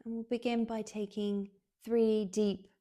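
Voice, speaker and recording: A woman guiding a relaxation meditation in a soothing, unhurried way, leaving long pauses, with soft, breathy phrase endings. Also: medium pitch, flat delivery. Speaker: yoga nidra guide.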